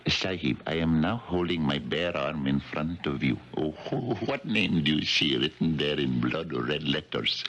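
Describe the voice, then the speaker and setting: A man's voice: extremely low pitch, lively intonation, measured pace, few pauses, fairly bright tone, heavily acted. An actor playing a polite, mysterious psychic guru in a 1970s radio drama, speaking in formal, ceremonious showman patter. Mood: commanding, mysterious. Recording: dull, hissy 1970s radio recording.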